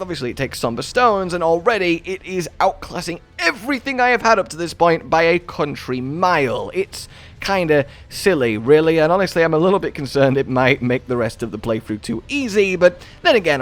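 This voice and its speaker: A man's voice: a little high, animated, moderate pace. A man giving an enthusiastic video game guide voice-over in a chatty, wordy, playfully dramatic way.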